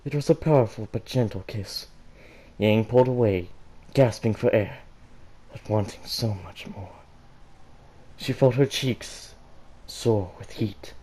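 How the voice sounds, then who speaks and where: Slow, dark-voiced man reading aloud. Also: fairly low pitch, rough recording. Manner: halting, stumbles and breaks off to correct himself. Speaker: fan fiction reader for a gaming channel. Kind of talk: amateur storybook narration, read aloud.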